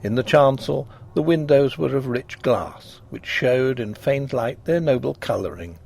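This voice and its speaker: A man narrating a gothic ghost story in a measured, expressive reading voice. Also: mid-range voice, gravelly.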